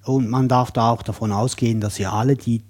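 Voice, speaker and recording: Friendly, husky-voiced male host. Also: medium pitch, steady tone, a little fast. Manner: friendly, joking, asks gentle questions. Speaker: podcast host. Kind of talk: podcast interview.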